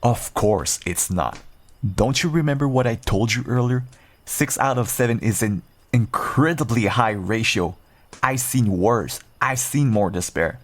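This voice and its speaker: A man playing a calm, protective werewolf rogue in a fantasy roleplay audio drama, speaking in an earnest, measured way. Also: medium pitch, close to an everyday voice. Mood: sober.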